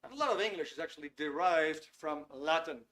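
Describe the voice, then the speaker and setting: A man's voice: a little high, lively intonation, brisk, fairly bright tone. Chatty Dutch-accented man hosting a webinar. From Netherlands. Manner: chatty, filler-heavy, reads chat messages aloud. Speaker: webinar host. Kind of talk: live webinar lecture. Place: small warm studio under lights.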